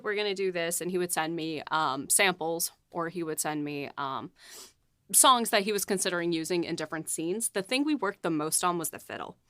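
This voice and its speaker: A woman with a smooth, clear voice that sits a little low talks casually about her writing. She is animated, laughs easily and fills her sentences with "like" and "um". The sound is dry and close. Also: no room sound. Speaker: podcast co-host and series writer. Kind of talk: casual chat.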